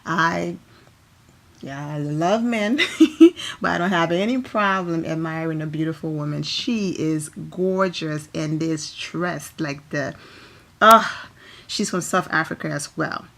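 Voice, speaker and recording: A woman's voice: deep voice, slow pace, gravelly, fairly bright tone. A woman from Liberia talking through red-carpet fashion in a chatty, enthusiastic, home-recorded commentary. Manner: chatty, enthusiastic, apologetic asides. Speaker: fashion vlogger. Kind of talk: casual commentary.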